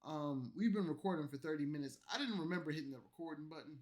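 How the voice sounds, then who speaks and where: A man streaming late at night, tipsy from alcohol and an energy drink, delivering a loud, profane, rambling rant at his chat. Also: on the high side, quick pace. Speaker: streamer reacting to clips.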